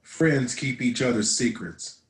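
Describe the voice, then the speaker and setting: A man's voice: medium pitch, lively intonation, measured pace, roomy sound, natural voice. A man playing a loyal, tender rookie police officer in his thirties in a drama table read. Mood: quietly earnest.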